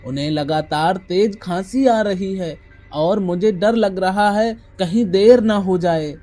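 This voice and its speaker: A woman's voice: mid-range voice, takes it slowly, mellow, soft background music, pitched below the performer's own voice. A woman voicing a humble, dejected village man, an earnest elder brother, in a Hindi cartoon moral story. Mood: worried, pleading. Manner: earnest, pleading.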